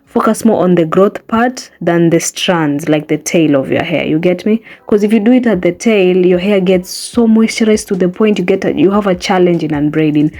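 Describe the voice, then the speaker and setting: A woman's voice: lowish voice, normal speed, hardly any pauses, mellow. A young woman whose first language is Swahili, chatting casually to camera in a small bedroom about her hair routine, earnest and coaxing. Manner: chatty, earnest, coaxing the viewer with repeated tags. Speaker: hair care vlogger. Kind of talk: casual how-to chat to camera.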